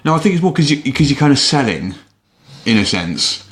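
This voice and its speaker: A man's voice: medium pitch, very animated, a little fast, fairly bright tone. A British man, a podcast host, talking in a casual, conversational way.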